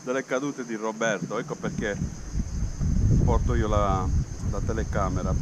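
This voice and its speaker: A man's voice: lowish voice, heavy background noise. A man in his fifties filming a mountain bike outing outdoors by a spring, chatting casually to his action camera and switching languages. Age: 50s. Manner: chatty, switches between languages, halting in the second one. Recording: loud music over an outdoor camera mic.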